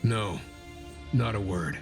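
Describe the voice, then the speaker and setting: Stern, weary military commander. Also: male voice, fairly low pitch, slow pace, gravelly. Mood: firm, commanding. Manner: grave, clipped, commanding. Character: stern, weary male battalion commander, self-blaming and dutiful. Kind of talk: animated motion-comic drama acting. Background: music.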